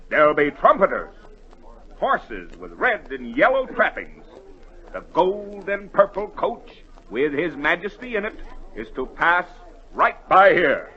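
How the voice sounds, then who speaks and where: An actor playing a fussy, inquisitive townsman of a storybook kingdom in 1940s radio drama style. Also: on the high side. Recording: muffled 1940 radio recording.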